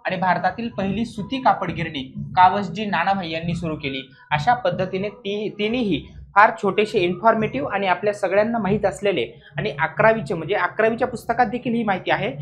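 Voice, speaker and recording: A male exam coach with a fairly high voice explains material as in a classroom. He is didactic and repeats the important points, often putting brief rhetorical questions to his students to make sure they follow. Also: fairly bright tone. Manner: didactic, repetitive, checking understanding with rhetorical questions.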